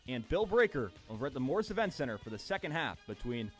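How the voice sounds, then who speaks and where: A man's voice: medium pitch, sing-song, fast, music in the background. A young man anchoring a scripted sports news update.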